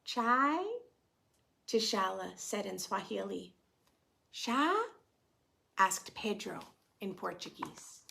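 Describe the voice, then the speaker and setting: A woman hosting storytime, reading a picture book aloud to preschoolers in a gentle, expressive way and explaining it simply. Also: mid-range voice, takes it slowly, vocal fry, long pauses, a little hiss or hum. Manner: gentle, expressive, addressing young children with questions. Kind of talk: children's read-aloud with simple explanations and questions.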